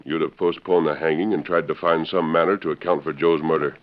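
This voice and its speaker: Western radio hero: very low, authoritative, clipped and formal, on a dull vintage recording. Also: male voice, fairly even intonation, fairly quick. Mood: firm, assured. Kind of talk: 1940s radio acting.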